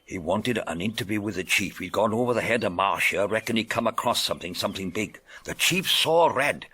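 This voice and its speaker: An actor playing a blustering, exasperated British police detective in a golden-age radio drama, gruff and emphatic. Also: male voice, on the low side, expressive, fairly quick, bright, forceful tone, full character voice. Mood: confiding.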